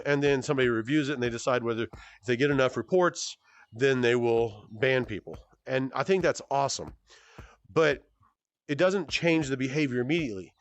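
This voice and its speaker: A man's voice: medium pitch, no echo. Easygoing man in his forties, rambling. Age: 40s. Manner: rambling and self-correcting, with many fillers and restarts; easygoing and good-humored. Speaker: gaming YouTuber answering fan questions. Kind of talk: casual Q&A chat while playing a VR game.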